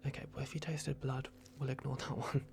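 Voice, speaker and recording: A performer voicing a soft-spoken, bumbling vampire doctor in an ASMR roleplay, whispering hesitantly and repeating reassurances. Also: medium pitch, level tone, fairly quick, background noise, natural voice. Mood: calm, instructing. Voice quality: whispered, creaky. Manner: hushed, hesitant, repetitive, reassuring.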